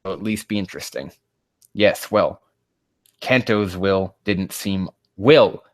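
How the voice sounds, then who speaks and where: Man, lowish pitch, dry sound, sarcastic fan fiction reader, mocking, profane, easily exasperated. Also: takes it slowly, dry recording. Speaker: host.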